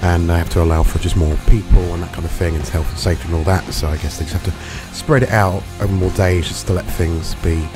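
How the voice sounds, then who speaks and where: Hungover man with a gravelly voice. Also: low voice, fairly even intonation, quick pace, hardly any pauses. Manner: rambling, chatty, swears freely. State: hungover, dry throat. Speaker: gaming video reviewer. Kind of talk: casual vlog commentary. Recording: music playing underneath.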